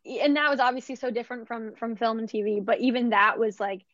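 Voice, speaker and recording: A bright, higher-pitched woman from Vancouver talks about her acting work in a chatty, upbeat way, full of "like" and quick restarts. Her voice comes across dry and a little dull, as if on a lo-fi recording. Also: steady tone, no echo. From Canada, Vancouver. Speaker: actress being interviewed. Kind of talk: casual interview answers.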